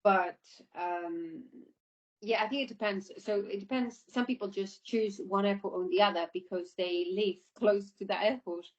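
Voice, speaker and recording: A woman's voice: mid-range voice, steady tone, normal speed. A woman giving informal, explanatory interview answers about her work, often repeating herself, over an online call. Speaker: air traffic controller being interviewed. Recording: dull lo-fi online call audio.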